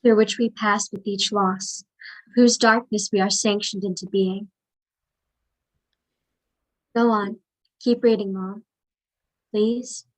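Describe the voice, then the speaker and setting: A woman's voice: mid-range voice, fairly even intonation, takes it slowly, pauses often, lightly acted, poor audio. A woman playing a wistful daughter reliving childhood, speaking lyrical, chant-like lines in a staged play reading. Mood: tender, pleading. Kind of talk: poetic stage monologue.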